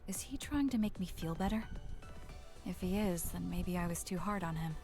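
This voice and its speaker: A woman playing a reserved, caring young female fighter in a video game, speaking in a measured, matter-of-fact way. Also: lowish voice, warm tone, close to an everyday voice. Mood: quietly reflective. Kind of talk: English video game cutscene voice acting. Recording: game audio with background music.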